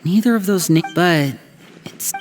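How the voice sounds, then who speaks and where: A man's voice: high-pitched, unhurried, faint music behind. A man voicing a composed, earnest young man, a protective older brother in a video game. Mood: earnest, planning.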